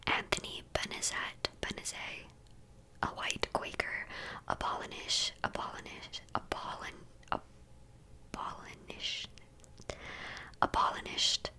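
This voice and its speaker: A woman in her twenties from the United States, softly whispering through a list of facts in an ASMR video, tripping over words and adding chatty asides. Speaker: ASMR creator.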